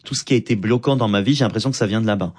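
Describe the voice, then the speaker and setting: A man, a stand-up comedian, talking in an interview in a lively, flamboyant way with quick asides. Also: lowish voice, husky. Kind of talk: conversational interview talk.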